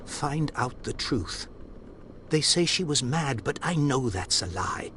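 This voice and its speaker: A man's voice: mid-range voice, expressive, slow pace, fairly bright tone, a little hiss or hum. A man voicing a proud, grieving older industrialist in a video game, speaking formally and sternly. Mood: pleading. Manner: formal, haughty and pleading. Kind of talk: game voice acting.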